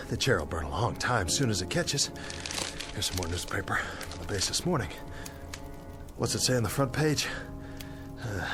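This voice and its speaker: An actor with an American accent playing a dazed, guilt-ridden young bomber captain in a radio drama, talking to himself in broken, searching phrases. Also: male voice, fairly low pitch. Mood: urgent, self-commanding, then weary, hopeful.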